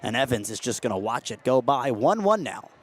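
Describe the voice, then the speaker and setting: A young man calling a game as a radio play-by-play announcer, steady between plays and rising to excited shouts on big hits. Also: medium pitch, brisk. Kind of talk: radio sports play-by-play commentary.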